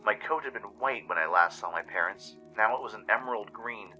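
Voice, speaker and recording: A man's voice: fairly low pitch, brisk, bright, forceful tone, muffled recording, soft background music. A man giving dramatic scripted narration of a story, as the host of a radio-style show.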